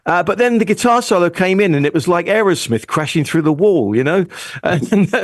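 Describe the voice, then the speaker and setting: Higher-voiced man reviewing songs, dry sound. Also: animated, normal speed, no echo. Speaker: music judge on a review panel. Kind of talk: casual music critique.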